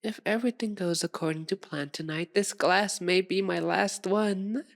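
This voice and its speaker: A woman's voice: medium pitch, normal speed, creaky, natural voice. A woman playing a seductive, possessive, sadistic vampire aristocrat in an ASMR audio roleplay, with a theatrical, purring, teasing formality. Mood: smug, coaxing. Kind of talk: ASMR roleplay, dramatic monologue to listener.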